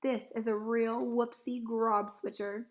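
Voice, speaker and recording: Woman voicing an excitable big storybook giant, swooping high. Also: slow pace. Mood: grave warning. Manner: exclamatory, dramatic, quaint ungrammatical speech. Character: big excitable kindly male giant with mangled grammar.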